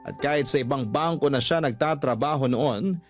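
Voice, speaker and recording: Male storyteller, medium pitch, scripted confessional narration, radio style, faint music underneath. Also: moderate pace. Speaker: radio-style storyteller reading listener letters.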